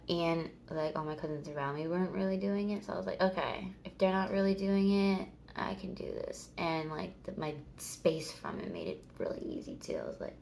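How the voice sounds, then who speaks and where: A woman's voice: lowish voice, gravelly, slight background noise. A woman in her thirties chatting casually to the camera, rambling and meandering, swearing now and then. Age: 30s. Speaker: vlogger.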